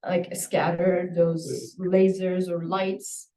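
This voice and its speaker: A woman's voice: lowish voice, steady tone, very slow, dark tone. A woman instructor giving spoken feedback to a student, probing with follow-up questions. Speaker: university instructor reviewing a student project. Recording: echoey room, distant microphone.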